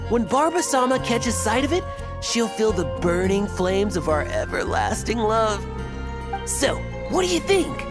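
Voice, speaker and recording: A man's voice: sits high, sing-song, measured pace. An actor playing a self-important young male superfan in a video game, gushing and stammering. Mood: gushing, proud. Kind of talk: video game character acting. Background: background music.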